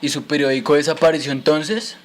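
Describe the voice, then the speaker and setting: A man's voice: a little high, fairly even intonation, fairly quick, close to an everyday voice. A man playing a curious, respectful son who puts scripted questions to his father in an educational radio drama.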